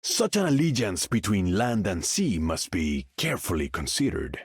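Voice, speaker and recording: An actor voicing a proud, regal ruler in a video game, speaking in a haughty, formal manner. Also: male voice, low-pitched, sing-song, moderate pace, husky, no echo, studio quality, heavily acted. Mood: haughty, disdainful. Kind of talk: video game character voice lines.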